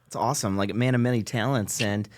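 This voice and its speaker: A man hosting a local interview show, upbeat and enthusiastic, warmly praising and asking his guest questions. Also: fairly low pitch, fairly even intonation, quick pace. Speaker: podcast host.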